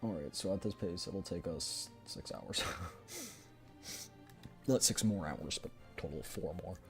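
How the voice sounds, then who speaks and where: A man with a low-pitched voice streams a game readthrough. He moves between narrating and casual commentary, with faint music playing behind him. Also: measured pace. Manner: casual, thinking aloud.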